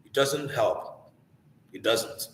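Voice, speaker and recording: A man's voice: mid-range voice, takes it slowly, slight background noise. A man with a Nigerian Igbo accent teaching a live online class informally, earnest and exhorting, posing rhetorical questions. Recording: echoey room with long reverb.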